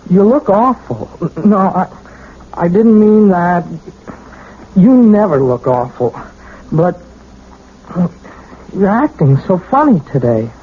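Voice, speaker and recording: An actor playing a lovestruck twenty-year-old piano student in a radio drama, earnest, halting and insistent. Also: male voice, high-pitched, expressive. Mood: shy concern. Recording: muffled narrowband radio recording with noise.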